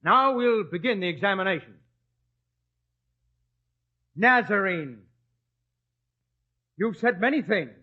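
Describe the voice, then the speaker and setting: A scheming high priest in a biblical radio play. His voice sits fairly high and swings widely as he declaims and presses his case. The sound is a little dull and lo-fi. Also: lively intonation, slow pace, long pauses, full character voice. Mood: stern, formal. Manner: declamatory, formal, insistent. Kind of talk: biblical radio drama acting. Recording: dull, lo-fi radio drama recording.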